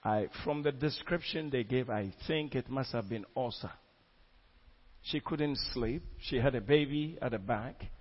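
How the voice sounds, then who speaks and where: A man's voice: medium pitch, unhurried. A man preaching with fervent, exclamatory energy, often repeating his words. His voice sits in an ordinary middle range, and the recording sounds dull and lo-fi. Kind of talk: sermon testimony.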